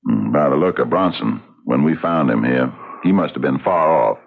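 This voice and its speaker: An actor playing a big, devout frontier lawman with a gravelly, husky voice, grave, folksy and measured, in an old-time radio drama. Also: extremely low pitch, lively intonation, heavily acted. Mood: deliberate, reasoning. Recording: tinny vintage radio recording.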